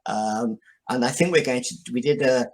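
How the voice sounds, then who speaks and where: An older man, a writer being interviewed, talking casually in a halting, modest way. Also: medium pitch.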